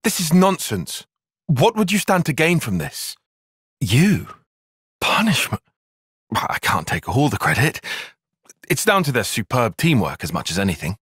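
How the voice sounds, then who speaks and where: An actor playing an earnest, cerebral young soldier and tactician in a video game, with a British accent, articulate formal phrasing and a slightly husky voice. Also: male voice, mid-range voice, very animated, measured pace, long pauses, a bit bright, no room sound, studio quality, heavily acted. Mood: indignant, questioning. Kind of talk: scripted video game cutscene acting.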